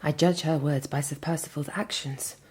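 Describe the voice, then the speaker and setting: An actress with an English accent playing a resolute, protective Victorian gentlewoman in a radio drama, speaking earnestly and formally. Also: sits low, steady tone, normal speed, breathy edge. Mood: earnest, conspiratorial. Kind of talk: radio drama acting with first-person narration.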